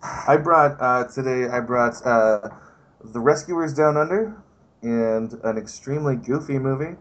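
A man's voice: medium pitch, unhurried, a bit bright, highs cut off. A young man guesting on a podcast, joking casually with lots of hesitant fillers.

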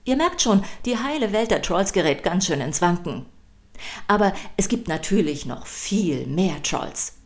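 A woman's voice: fairly low pitch, big pitch swings, unhurried, soft background music. A woman hosting a children's podcast, reading scripted narration in a playful, emphatic storytelling manner.

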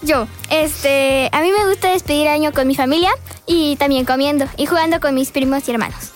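Teen girl, giggly, high voice, faint music behind. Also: fairly quick. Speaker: student radio co-host. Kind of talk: casual radio chat.

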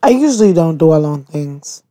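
A frank woman with a somewhat low voice, talking plainly in a casual group chat. She sounds dismissive and tired of other people. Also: very animated, normal speed, warm tone.

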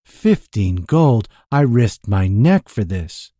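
A sly, smooth-talking rogue, as a male narrator plays him, purring his words slowly and grandly. His voice sits low and warm, and the sound is dry and close. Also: very animated, no room sound, heavily acted.

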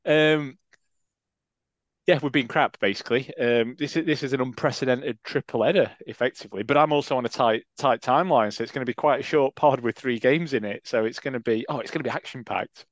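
A man with a Sheffield accent, chatting and laughing at himself as a podcast co-host. His voice sits at a middle pitch and comes through slightly dull and muffled. The recording is dry and close, with no room sound. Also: fairly quick, dry recording. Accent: Sheffield English. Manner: self-deprecating, laughs often. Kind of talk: casual chat.

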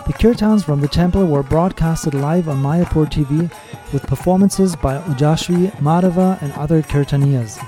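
Mellow-voiced male podcast host. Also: a little high, moderate pace, hardly any pauses, faint music behind. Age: adult with children. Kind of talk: scripted podcast narration.

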